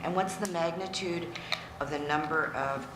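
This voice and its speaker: Low-voiced woman board member asking questions. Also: normal speed. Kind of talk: questioning at a formal meeting. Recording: echoey meeting room with clear background noise.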